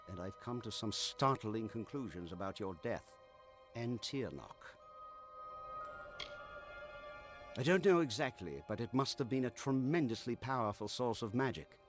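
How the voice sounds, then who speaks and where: A man's voice: medium pitch, close to an everyday voice. A man playing an intense, scholarly mage in a fantasy video game, articulate and dramatic, fascinated by powerful magic. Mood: urgent, intrigued. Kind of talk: video game character dialogue. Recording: music behind the voice.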